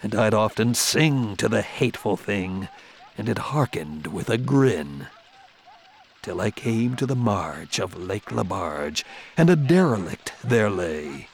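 An actor playing a haunted gold prospector who recites a grisly Arctic horror poem in a theatrical way, swinging between hushed dread and cries. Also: male voice, on the low side, sing-song, takes it slowly, vocal fry, a little hiss or hum, full character voice. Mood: tormented, wild. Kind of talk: dramatic horror poetry recitation over music.

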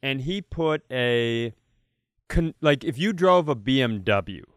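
Smooth, crisp-voiced man telling casual stories. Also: medium pitch, animated, slow, no room sound, studio quality. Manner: profane, jokey banter. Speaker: comedy podcast co-host. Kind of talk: casual comic storytelling.

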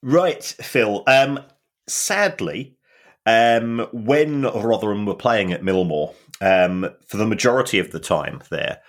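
A man co-hosting a football finance podcast, explaining things in a halting way with many restarts and dry humour. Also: lowish voice, expressive. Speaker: football finance expert co-host. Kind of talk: casual podcast discussion.

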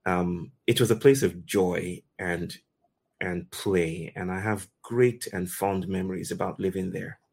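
A man from Nigeria hosting a conversation, telling a story in slow, deliberate, poetic phrases with pauses. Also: low voice, steady tone, gravelly, darkish tone, husky. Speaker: host. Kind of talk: reflective storytelling talk.

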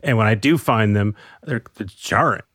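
Low-voiced skeptical male interviewer. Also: moderate pace, a bit bright, no echo, clean studio sound. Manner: skeptical, conversational. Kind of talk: interview questions.

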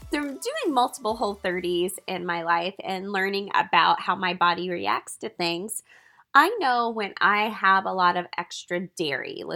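A woman's voice: medium pitch, vocal fry, sharp tone. Stuffy-nosed chatty woman podcast host. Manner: chatty, upbeat, laughs between phrases. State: stuffy nose from allergies. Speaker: podcast host and health coach. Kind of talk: casual solo podcast talk.

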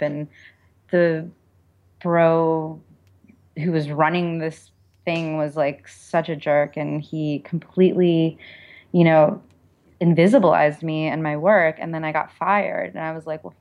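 Very low-pitched woman, reflective. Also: muffled recording. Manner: reflective, informal, explanatory. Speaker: author and perfumer, interview guest. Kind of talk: conversational interview answers.

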